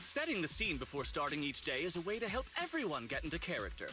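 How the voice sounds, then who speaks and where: A showy film director whose pitch swings widely. He is persuasive and grandiose, a theatrical game character speaking over clear music. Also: wildly swooping pitch, fast talker. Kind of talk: game voice acting.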